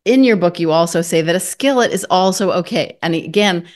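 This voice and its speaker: A woman's voice: fairly low pitch, a little fast. A woman hosting an interview, asking questions and praising her guest with enthusiasm. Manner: enthusiastic, effusive. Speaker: host. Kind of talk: interview podcast chat.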